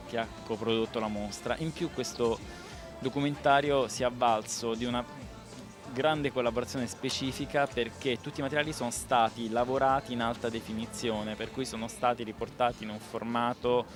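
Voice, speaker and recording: A man answering an interview as a documentary maker, halting as he searches for words. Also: medium pitch, flat delivery. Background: music.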